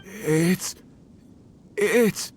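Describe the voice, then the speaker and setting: A man playing an older, frail villager in a video game, speaking haltingly and hesitantly. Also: high voice, a little hiss or hum. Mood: startled.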